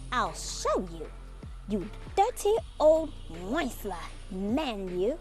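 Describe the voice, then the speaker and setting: Casting: female reader playing little male woodland guardian for a picture-book tale, indignant, plaintive, very swoopy intonation, slow pacing. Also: on the high side, big pitch swings, over music. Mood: scolding. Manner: scolding, plaintive, deliberate. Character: small indignant male forest creature, scolding and mournful. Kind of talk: children's storybook read-aloud.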